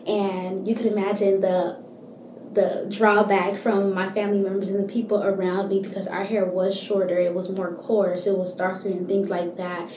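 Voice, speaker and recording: Young woman from miami, warm soft voice, talks slow and thoughtful with lots of ums, roomy echo. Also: mid-range voice, a little hiss or hum. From Miami, Florida. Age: young adult. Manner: relaxed, thoughtful, with frequent ums. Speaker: guest, university student. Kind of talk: casual interview answers.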